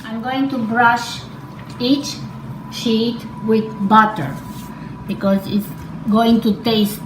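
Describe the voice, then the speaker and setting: A woman's voice: on the high side, fairly even intonation, takes it slowly. A middle-aged woman with a Romanian accent, demonstrating a family recipe at home, talking unhurriedly with fillers and small self-corrections. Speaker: cooking demonstrator. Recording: echoey kitchen with background noise.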